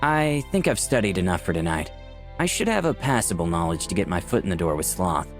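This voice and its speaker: A man's voice: low-pitched, sing-song, a little fast. A man playing an eager young tech fan who earnestly narrates his own story in a visual novel. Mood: pensive. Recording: music behind the voice.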